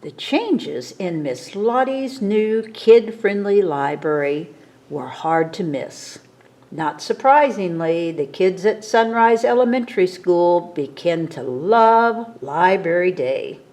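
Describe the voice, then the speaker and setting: A woman reading a picture book aloud to children as a storytime reader, in a dramatic, expressive manner. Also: fairly low pitch, takes it slowly.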